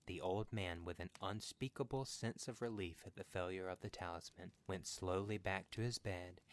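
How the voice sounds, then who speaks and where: A man's voice: deep voice, a little hiss or hum. A man reading a horror story as scripted narration for a podcast.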